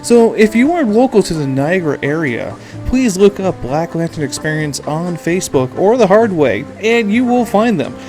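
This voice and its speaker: A man with a fairly high voice hosts a casual podcast. He rambles informally and jokes along, with music sometimes behind him. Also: very animated, moderate pace, a little mellow. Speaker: podcast host.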